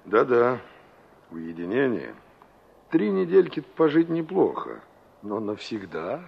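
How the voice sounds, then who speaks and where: Husky, animated young engineer, old radio play. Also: male voice, medium pitch, takes it slowly, heavily acted. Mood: probing, casual. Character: young male engineer, dry, probing and impatient. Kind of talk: 1960s Soviet radio play acting. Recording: dull old radio drama recording.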